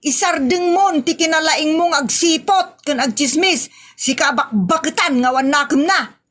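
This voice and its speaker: A woman's voice: sits high, a little slow, fairly bright tone. A woman playing a quarrelsome, mocking village woman with an Ilocano accent in a comedy audio drama. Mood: mocking, scolding. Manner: taunting, scolding.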